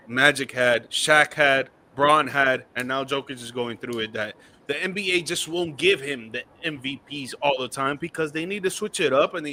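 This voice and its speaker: A man's voice: fairly high pitch, bright, forceful tone. A man on a sports podcast panel, talking loosely with slang and jokes. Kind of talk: casual sports talk.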